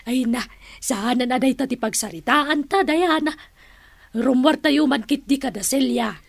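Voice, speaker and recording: An actress playing an exasperated comic auntie in an Ilocano radio drama, hesitant and full of exclamations. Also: female voice, high-pitched, measured pace, creaky, no echo, exaggerated voice. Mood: breezy.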